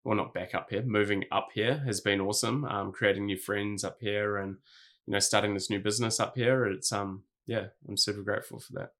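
A man's voice: fairly low pitch, steady tone, brisk, fairly bright tone. A New Zealand man in his thirties answering interview questions in a laid-back way, with plenty of ums and likes. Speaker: guest, clothing brand founder.

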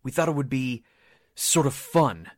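An actor playing an earnest, indignant young soldier with a stammering, defensive manner in a 1940s-style radio drama. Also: male voice, mid-range voice, animated, a little slow, no echo, clean studio sound. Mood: flustered, defensive. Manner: earnest, stammering under pressure. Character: earnest, indignant young corporal, falsely accused fiancé.

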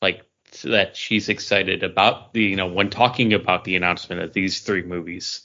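Male co-host, lowish pitch, eager casual fan discussion, slightly muffled podcast recording. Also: steady tone, normal speed, bright, forceful tone. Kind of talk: casual geek news discussion.

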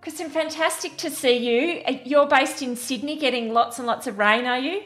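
A woman hosting a podcast, reading a scripted introduction clearly and then asking interview questions. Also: high voice, moderate pace, a bit bright, soft background music. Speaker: podcast host.

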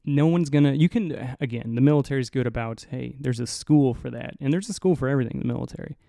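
A young man hosting a solo podcast in a low, mumbly voice, rambling and swearing casually, right up on the mic. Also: fast talker, gravelly, warm tone, no echo, studio quality. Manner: rambling, profane, self-mocking. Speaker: podcast host. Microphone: close to the mic.

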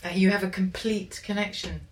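A woman's voice: medium pitch, fairly even intonation, brisk. A woman interviewing a guest in a reflective, conversational style. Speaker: podcast interviewer. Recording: distant mic, background noise.

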